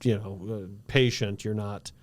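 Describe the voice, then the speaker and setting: A man's voice: fairly low pitch, steady tone, unhurried, no echo. A man hosting a podcast in casual chat, chatty, often laughing and acting out quotes. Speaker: podcast host.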